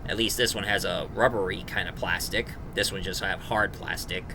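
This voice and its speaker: A man giving a casual, rambling review in a halting, enthusiastic fan manner. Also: low-pitched, steady tone, creaky, noisy background. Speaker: reviewer, host.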